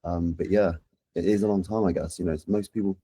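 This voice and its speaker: A man's voice: sits low, fairly even intonation, brisk, warm tone, husky, no echo. A man in his thirties with a London accent, chatting casually as a podcast guest. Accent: London English. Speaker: podcast guest, social media and community manager. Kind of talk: casual chat.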